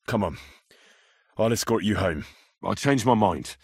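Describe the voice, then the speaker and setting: A man playing a young, earnest, wry medieval adventurer in a video game, slightly husky, muttering his thoughts and quick to curse. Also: fairly low pitch, lively intonation, dry recording, studio quality, exaggerated voice. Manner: muttering thoughts to himself, bursts into shouts. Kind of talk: acted video game voice lines.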